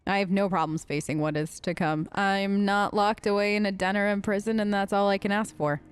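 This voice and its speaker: A woman's voice: mid-range voice, fairly even intonation, brisk, smooth. A woman hosting a role-play let's play, chatting casually with game characters, sometimes exasperated. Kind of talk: role-play gaming commentary.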